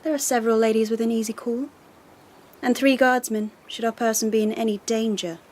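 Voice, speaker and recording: An actress playing a haughty, icy young empress in a radio drama, imperious, formal and measured in her disdain. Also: fairly high pitch, steady tone, a little mellow, lightly acted. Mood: haughty, probing.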